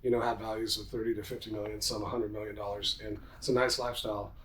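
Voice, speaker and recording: A man's voice: fairly low pitch, flat delivery, quick pace, a bit bright, poor audio. A middle-aged man giving casual, self-assured, informal answers as a podcast guest, with some room noise behind him. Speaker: podcast guest, commercial real estate entrepreneur. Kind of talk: casual interview talk.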